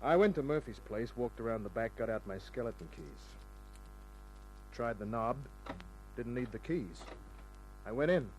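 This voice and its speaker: Male actor as hard-boiled radio gumshoe: wry, cynical, medium pitch, vintage crime drama. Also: animated, moderate pace, long pauses, darkish tone, slight background noise, natural voice, rough recording. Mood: matter-of-fact narration. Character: cynical, tough wisecracking male private detective. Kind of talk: mid-century radio crime drama acting and first-person narration. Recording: old radio recording with music.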